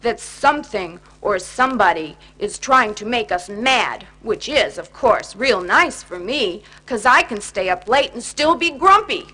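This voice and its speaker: A woman's voice: fairly high pitch, animated, a little slow, few pauses, pushed and bright, full character voice. A woman voicing a grumpy, complaining cartoon bear in a children's storybook, grumbling and sulky. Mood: grumpy scheming. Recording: noisy 1980s children's record.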